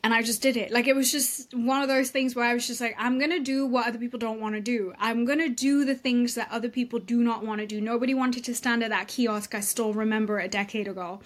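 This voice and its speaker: A woman's voice: fairly high pitch, steady tone, quick pace, hardly any pauses. A woman from England, a real estate coach, talking emphatically and candidly as a podcast guest over a video call from home. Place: home room abroad.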